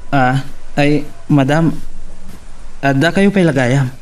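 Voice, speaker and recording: A man's voice: mid-range voice, slow pace, lightly acted. A man with an Ilocano accent playing a hesitant, deferential office employee in a radio drama. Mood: hesitant, polite. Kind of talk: Filipino radio drama acting. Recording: slightly distant from the mic.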